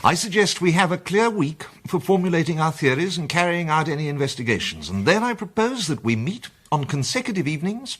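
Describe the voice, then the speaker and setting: An actor playing a confident amateur sleuth who leads a crime circle in a period radio mystery, brisk and urbane. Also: male voice, fairly high pitch, very animated, slightly rough. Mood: organising. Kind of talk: period detective radio drama.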